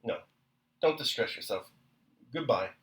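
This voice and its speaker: Man doing a stammering, flustered young gentleman. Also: medium pitch, animated, unhurried.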